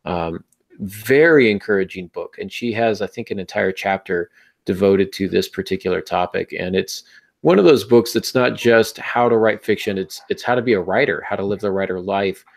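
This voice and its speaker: A man's voice: deep voice, moderate pace, creaky. A man hosting a podcast in a casual, conversational way, with wry asides as he talks about writing. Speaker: podcast host.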